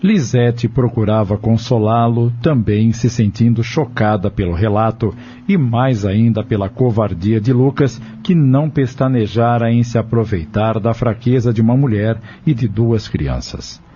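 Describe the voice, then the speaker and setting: A man's voice: medium pitch, a little slow, few pauses, warm tone. A man narrating a dramatic audio novel from a script in a solemn, literary manner. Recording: dull lo-fi audio with music behind. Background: music.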